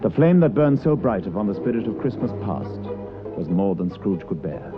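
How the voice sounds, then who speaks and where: A man's voice: on the low side, very animated, normal speed. A man giving dramatic literary narration in an old radio broadcast, with a tinny sound and music behind.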